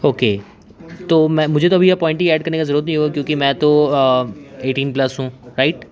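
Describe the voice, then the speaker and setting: A man's voice: a little high, fairly even intonation, a little fast. A man in his twenties on a phone call as a customer, hesitant and rambling, with many fillers. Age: 20s. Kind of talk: casual phone conversation. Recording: lo-fi phone line.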